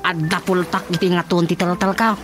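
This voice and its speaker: An actor playing a naive, earnest young son with an Ilocano accent in a radio comedy-drama. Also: male voice, high-pitched, level tone, brisk, natural voice. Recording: prominent music under the dialogue.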